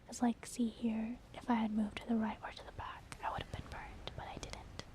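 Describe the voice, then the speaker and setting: A woman whispering softly and breathily in a casual, rambling ASMR commentary over video gameplay. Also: on the high side, level tone, normal speed. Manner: casual, rambling, gentle explaining. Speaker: gaming ASMR video host.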